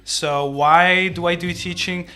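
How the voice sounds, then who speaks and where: A man's voice: sits high, steady tone, slow, bright, forceful tone. A man with an Algerian accent answering interview questions in a conversational way, like a teacher explaining himself. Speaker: English teacher, podcast guest.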